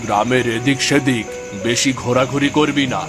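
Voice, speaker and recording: A male actor as a worried, protective father in a cartoon drama. He questions and gently chides in a mid-range voice, with music clearly underneath. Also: mid-range voice, steady tone, moderate pace, close to an everyday voice. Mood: concerned, chiding. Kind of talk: cartoon story dialogue.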